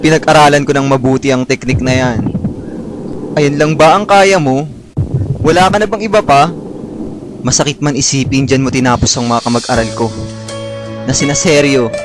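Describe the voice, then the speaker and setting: A man playing a heroic, sentimental alien avenger in a melodramatic, drawn-out style for an amateur comic anime-parody radio drama. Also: medium pitch, close to an everyday voice. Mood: taunting. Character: heroic male alien avenger from another planet, mock-solemn and sentimental. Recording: homemade radio drama under loud music.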